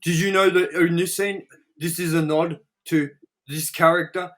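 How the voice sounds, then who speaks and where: A man's voice: on the high side, steady tone, moderate pace, fairly bright tone. A man chatting casually as co-host of a film review show, blunt and sweary, repeating words for emphasis.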